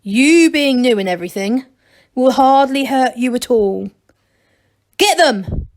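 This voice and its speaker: A woman's voice: sits high, animated, slow pace, pitched above the performer's own voice, full character voice. A woman voicing a blustering, half-hearted male gnome bandit chief in a storybook. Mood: menacing.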